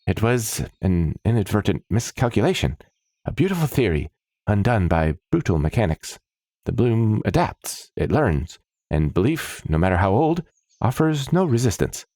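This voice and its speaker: A man's voice: fairly low pitch, big pitch swings, normal speed, mellow, dry recording, pitched above the performer's own voice. A man voicing an eager, chirpy woman alchemist doctor in a fantasy story, speaking in precise, wordy scientific jargon. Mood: earnest, animated. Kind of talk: fantasy story character dialogue.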